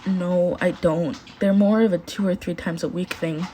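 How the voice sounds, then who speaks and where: A woman voicing a gentle, supportive teenage boy in a story, soft-spoken and reassuring. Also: fairly low pitch, fairly even intonation, normal speed, slight background noise, natural voice. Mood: gentle, concerned.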